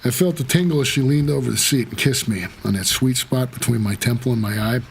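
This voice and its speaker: An actor playing a wry, world-weary cab driver for the dead, hard-boiled and laconic in a noir audio drama. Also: male voice, mid-range voice, brisk, vocal fry, a little hiss or hum, lightly acted, rough recording. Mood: brooding, wry. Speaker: narrator and lead character.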